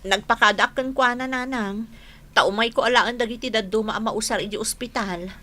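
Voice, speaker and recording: Nagging high-voiced Ilocano wife. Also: female voice, moderate pace, bright, forceful tone, a little hiss or hum. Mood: worried. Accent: Filipino, Ilocano. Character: scolding, long-suffering wife of a drunkard and mother. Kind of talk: Ilocano radio comedy drama acting.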